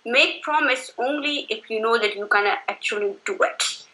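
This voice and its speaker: Afghan woman guest, higher and very bright voice, emphatic and giving advice. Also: moderate pace, poor audio. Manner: emphatic, advising, uses "you know" often. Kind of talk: interview.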